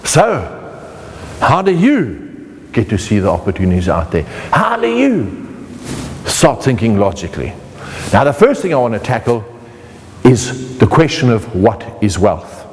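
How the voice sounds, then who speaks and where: A man's voice: mid-range voice, sing-song, a little slow, long pauses, a little mellow, long reverb tail. A middle-aged man with a South African accent, teaching an online motivational course in a playful way that speaks straight to the viewer.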